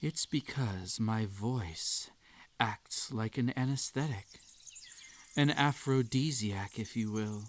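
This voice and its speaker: A man voicing an obsessive magical bunny in a hypnotic audio roleplay, coaxing and soothing with a desperate edge. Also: lowish voice. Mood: wistful, soothing. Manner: slow, soothing, coaxing delivery. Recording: nature ambience behind the voice.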